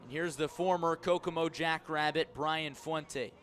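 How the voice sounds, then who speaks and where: A man calling a baseball game live on radio as play-by-play announcer, measured between pitches and lifting his voice on the action. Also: faint music behind. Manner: measured narration rising to excited shouts on key plays. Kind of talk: live sports commentary.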